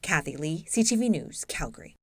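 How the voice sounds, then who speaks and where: A woman's voice: low voice, sing-song, fairly quick, a little mellow, slightly rough. A woman voicing a scripted television news report.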